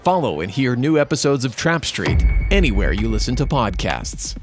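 A man's voice: mid-range voice, big pitch swings, moderate pace, music in the background. A man hosting a podcast with casual, playfully dramatic banter. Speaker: podcast host. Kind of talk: casual comic banter and introduction.

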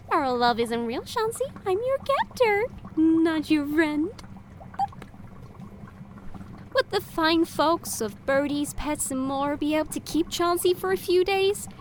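High, swooping, gushing pet owner. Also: female voice, expressive, unhurried, slight background noise. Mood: melodramatic. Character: sweetly entitled, melodramatic woman customer with a cat.

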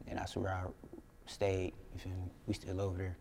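A young man from Flint, Michigan, a rapper telling stories in a casual interview, laid-back and slangy, often trailing off quietly. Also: deep voice, completely flat delivery, moderate pace, vocal fry, in a large hall. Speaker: rapper guest. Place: indoor interview room.

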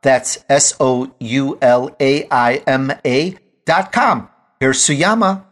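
A man's voice: mid-range voice, slow, fairly bright tone. A man hosting an interview podcast, effusive and heaping praise on his guests. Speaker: show host.

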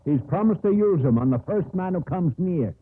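Casting: polite, droll junior ship's officer, higher swooping voice, thin old radio sound. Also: male voice, expressive, moderate pace, breathy edge. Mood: respectful, earnest. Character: droll, deferential second mate on sailing ship. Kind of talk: 1950s radio drama acting. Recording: muffled, hissy 1950s radio broadcast.

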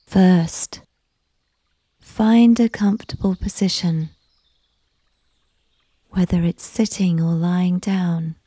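A woman softly narrating a guided sleep visualization in a breathy, often near-whispered voice with a gentle, unhurried, soothing delivery. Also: fairly low pitch, fairly even intonation, no room sound. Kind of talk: slow scripted sleep visualization, soft and whispery.

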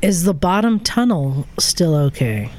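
Dry, teasing woman with lowish voice. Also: very animated, unhurried, a little mellow, slight background noise. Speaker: podcast panelist. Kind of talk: casual group chat.

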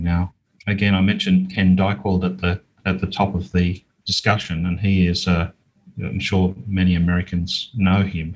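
Man, Australian accent, low-pitched, husky, emphatic, conversational interview guest. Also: rough recording. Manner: outspoken, emphatic. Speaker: interview guest, business owner and author.

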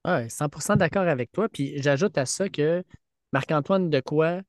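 A man's voice: on the high side, moderate pace, no echo, clean studio sound. A man with a Quebec French accent co-hosting a sports podcast, talking earnestly with frequent restarts. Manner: earnest, many fillers and restarts. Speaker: podcast co-host, teacher. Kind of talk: casual sports chat. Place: podcast studio.

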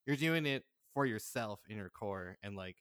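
A man chatting casually as a podcast guest, in a colloquial, encouraging and animated way. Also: lowish voice, moderate pace, smooth, dry recording, studio quality. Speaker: podcast guest, audio drama writer. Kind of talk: casual chat.

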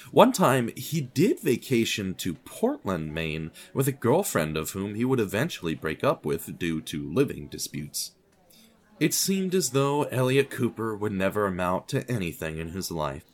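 A man narrating a scripted fiction podcast in a wry, dramatic manner with deliberate phrasing. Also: lowish voice.

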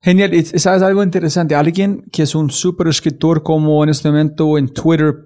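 A male podcast host in casual interview style, animated and curious, asking questions in quick bursts. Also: fairly high pitch.

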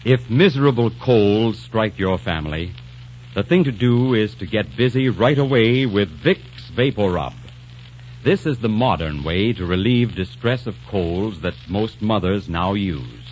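A man reads a 1940s radio commercial in an earnest, insistent style, repeating key words for emphasis. His pitch moves in lively swings. The old recording sounds dull and muffled, with a faint hiss. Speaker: sponsor announcer.

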